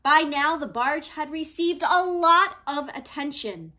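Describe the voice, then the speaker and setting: Woman, high voice, very lively intonation, expressive read-aloud storytelling, dramatic emphasis. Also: unhurried. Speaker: reader of a children's non-fiction book.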